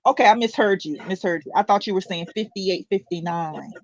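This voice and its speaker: A live stream host chatting casually and asking measured questions. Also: mid-range voice, a crowd in the background. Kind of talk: casual chat. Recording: dull lo-fi stream audio.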